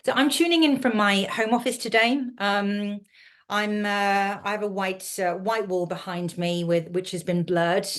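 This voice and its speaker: A woman's voice: medium pitch, steady tone, unhurried. A woman speaking from her home office on a video call, moderating a panel and talking with many hesitations and restarts. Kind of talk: online panel hosting.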